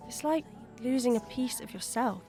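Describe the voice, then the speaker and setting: An actress plays a caring young female friend in an audio drama. Her voice is fairly high and gentle, consoling in manner, with faint music underneath. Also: moderate pace, close to an everyday voice. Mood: sympathetic. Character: Chloe, sympathetic, supportive young female friend.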